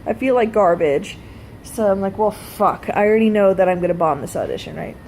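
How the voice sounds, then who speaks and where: A woman's voice: medium pitch, brisk, darkish tone, a little hiss or hum, rough recording. A woman telling a personal story in a casual vlog style, blunt and freely swearing. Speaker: vlogger.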